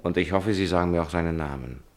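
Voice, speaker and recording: An actor playing a polite, probing gentleman writer in old London, in a 1950s German radio drama. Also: sits low, fast talker, lightly acted. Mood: discreet, probing.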